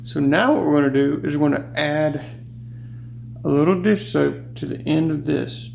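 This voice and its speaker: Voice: mid-range voice, normal speed, vocal fry. A science teacher doing a playful hands-on demonstration for children, exclaiming with delight as the experiment works. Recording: echoey room with steady hum.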